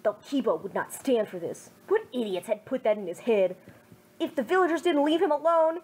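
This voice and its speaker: A young woman giving a gentle, earnest amateur reading of a fan fiction story on a home microphone. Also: on the high side, lively intonation, brisk, slight background noise, low-quality recording.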